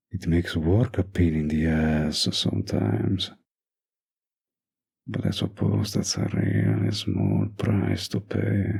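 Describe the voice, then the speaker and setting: Casting: male actor for a young mob boss boyfriend in romantic audio roleplay; raspy, unhurried drawl, menace mixed with tenderness. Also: rumbling low, darkish tone, heavily acted. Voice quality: gravelly, husky. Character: dominant young mafia boss boyfriend, menacing yet doting.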